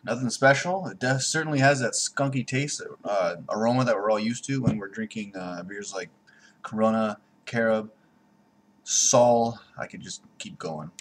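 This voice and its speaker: A man's voice: lowish voice, normal speed, slight background noise. A man, reviewing a beer to a webcam at home in a blunt, sarcastic way. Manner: blunt, sarcastic, jumpy in loudness. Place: small room at home, indoors. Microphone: webcam at desk.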